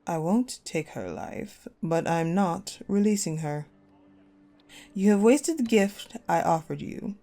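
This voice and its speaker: A woman playing a cold, possessive ice-queen general in a dark romance audio roleplay, commanding and menacing. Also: on the low side. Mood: grudging, stern. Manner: commanding, menacing, slow and deliberate, dropping to hushed.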